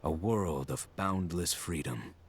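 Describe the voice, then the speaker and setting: A man acting as the grave, deliberate narrator of an epic game prologue, with loud music under his voice. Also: low-pitched, noisy background. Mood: solemn. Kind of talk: scripted cinematic narration.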